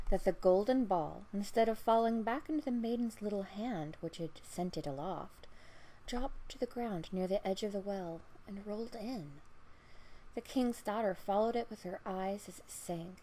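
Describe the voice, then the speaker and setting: A woman storyteller reading a fairy tale aloud in a measured, expressive way. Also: mid-range voice, slight background noise, poor audio. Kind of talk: scripted fairy-tale narration.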